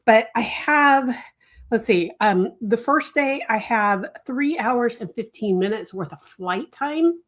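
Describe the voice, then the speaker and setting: A woman's voice: fairly high pitch, lively intonation, slow pace. Chatty middle-aged woman, rambling with fillers. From United States. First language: Italian. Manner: rambling, with many fillers and self-corrections; laughs lightly often mid-sentence. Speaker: crochet designer and channel host. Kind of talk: casual desk-tour vlog. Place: home workspace.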